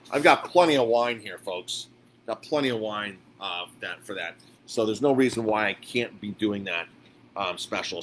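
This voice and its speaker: A man giving a casual, unscripted live update as a restaurant owner, speaking informally and haltingly and often repeating himself. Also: lowish voice, fairly bright tone. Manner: halting, repetitive, informal address to followers. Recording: clearly noisy background ambience.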